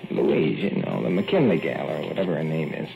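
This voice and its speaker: An actor playing a gruff, secretive small-town handyman who speaks tersely in a gravelly rural voice, in an old radio drama. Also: very deep voice, sing-song, moderate pace, full character voice. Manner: terse, hushed, rural dialect. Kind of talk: 1940s radio acting. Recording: tinny old radio broadcast, faint music.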